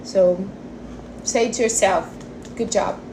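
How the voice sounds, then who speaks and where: Female, forty, livestream host. Unhurried, mid-pitched, casual chat with non-native phrasing. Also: fairly even intonation, background noise. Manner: informal, non-native English phrasing, addresses listeners as honey and guys. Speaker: livestream host answering viewer questions on diet.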